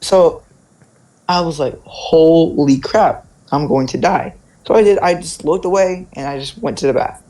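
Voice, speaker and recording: A man's voice: fairly high pitch, measured pace, mellow, rough recording. A young man casually telling stories in informal speech, often checking in with "right?" and "like". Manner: informal, peppers talk with "right?" and "like". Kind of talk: casual spoken storytelling.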